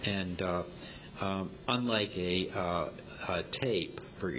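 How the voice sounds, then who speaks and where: A man with a very low voice gives an informal talk, feeling his way hesitantly through technical explanations. The recording is dull and amateur, with clear noise and a low rumble underneath. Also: fairly bright tone. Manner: halting, hesitant, explanatory delivery. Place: club meeting room.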